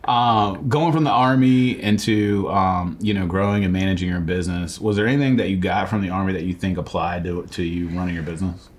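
A man's voice: low-pitched, a little fast, few pauses. A man hosting a podcast interview, casual and joking, teasing his guest. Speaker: podcast host.

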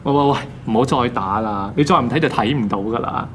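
An actor playing an excitable, insistent office colleague in a radio drama. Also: male voice, medium pitch, brisk, a little hiss or hum, natural voice. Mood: excited, insistent. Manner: excited, urging. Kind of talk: Cantonese radio drama acting.

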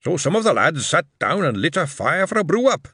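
A man voicing an old male dwarf cobbler, blunt and earnest, telling his tale in a gruff, rising voice. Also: a little high, brisk, no room sound, clean studio sound, voice pushed up, exaggerated voice. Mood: gruff reminiscing. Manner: gruff, telling a war story with rising excitement.

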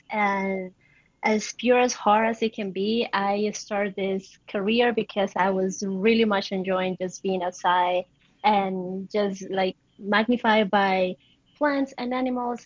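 A woman's voice: medium pitch, no echo, dull audio. A young adult woman from Colombia, a native Spanish speaker with a Colombian accent, chatting casually as an interview guest. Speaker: interview guest, PhD student. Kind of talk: casual, conversational answers.

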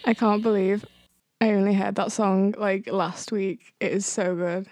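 A woman's voice: medium pitch, little pitch movement, a little slow, a little mellow. A woman chatting casually as the co-host of a podcast. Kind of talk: casual chat.

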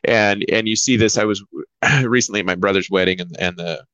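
A man's voice: fairly low pitch, fairly quick, fairly bright tone, no room sound, lo-fi sound. A man co-hosting a church talk show, chatting casually in a rambling way. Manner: rambling, many fillers and restarts. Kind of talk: casual chat.

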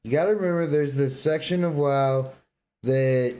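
A man's voice: fairly high pitch, moderate pace, mellow. A man co-hosting a podcast with casual, slangy, laid-back banter. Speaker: podcast co-host.

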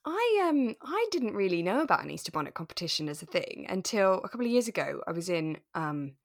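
Animated, giggly woman podcast co-host, dry sound. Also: fairly low pitch, fast talker, no room sound. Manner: giggly, animated, gasping enthusiasm. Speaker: co-host of a radio drama review podcast. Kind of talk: casual chat.

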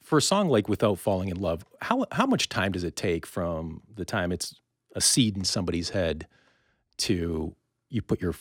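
Man, fairly low pitch, interview host, reflective, halting wordy delivery, dry close recording. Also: very animated, dry recording. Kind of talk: conversational interview.

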